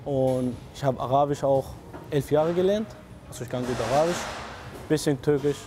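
A man's voice: mid-range voice, dark tone. Young Kurdish-accented man, noisy workshop. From northern Syria. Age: 20s. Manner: simple, halting learner's German. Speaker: metalworker. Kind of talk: casual interview answers.

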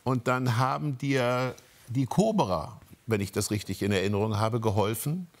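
A man's voice: mid-range voice, normal speed. A man hosting a conversational interview, engaged and opinionated, rephrasing his questions as he goes. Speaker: interviewer, host.

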